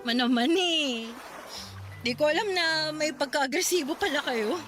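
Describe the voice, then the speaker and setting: A woman's voice: high-pitched, measured pace, music in the background. A woman with a Filipino accent playing a stubborn, exasperated, heartbroken young woman in a Tagalog radio drama. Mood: sad, calming. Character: heartbroken, stubborn, sassy grown daughter in late twenties.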